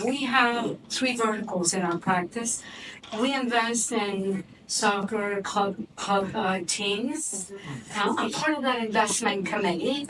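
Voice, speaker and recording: Woman, 60s, Cuban Spanish accent, conversational restarts, distant mic, noisy room. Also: medium pitch. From Cuban-born, raised in Miami. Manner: conversational, frequent restarts and fillers. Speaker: board member and investor, guest. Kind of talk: fireside chat on stage. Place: office event space, live audience. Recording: distant mic with background noise.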